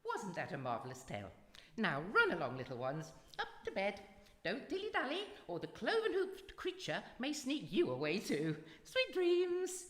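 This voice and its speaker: A woman's voice: medium pitch, huge pitch swings, moderate pace. A woman playing a theatrical auntie who tells ghostly tales in a live radio drama, dramatic and relishing, drawing out the suspense. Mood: playful, sinister relish. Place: live performance in a reverberant hall. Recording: echoey hall with long reverb, music behind.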